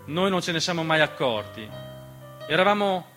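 A man delivering a scripted radio theatre monologue, measured and dramatic, with deliberate pauses. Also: fairly high pitch, lively intonation, bright, forceful tone. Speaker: stage storyteller and narrator. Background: piano music.

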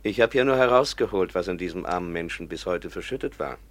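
A man's voice: deep voice, expressive, quick pace. An actor playing a kindly, modest male professor in a radio play.

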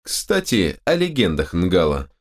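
A man's voice: low voice, wildly swooping pitch, a little slow, heavily acted. A man voicing a cocky, defiant adventurer hero with offhand banter in a fantasy audiobook.

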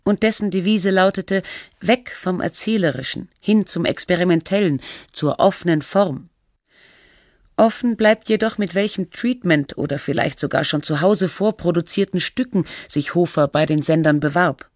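A woman playing the sober, measured narrator of a literary radio documentary. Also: fairly low pitch, a little mellow, dry recording, studio quality, close to an everyday voice. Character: composed documentary narrator of a fictional radio feature.

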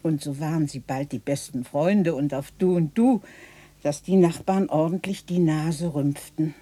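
A woman's voice: low-pitched, dark tone, slightly rough. An actress playing an old widowed townswoman telling of her stubborn husband in a folksy, vivid way in a German radio play. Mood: folksy, reminiscing. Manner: folksy, unhurried, vivid oral storytelling.

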